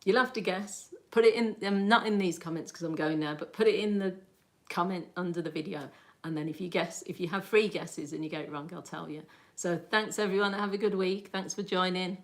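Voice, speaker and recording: Chatty woman, slightly low voice. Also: a little fast. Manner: chatty and rambling, laughs often. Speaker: livestream host. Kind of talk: casual livestream chat, answering viewer comments.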